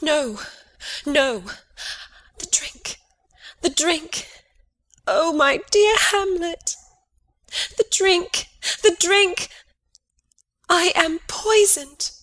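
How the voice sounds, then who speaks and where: A woman's voice: very high-pitched, extremely slow, pauses often, a bit bright, exaggerated voice. A woman playing a gracious queen and doting mother in a theatrical Shakespeare audiobook reading. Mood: dying, desperate.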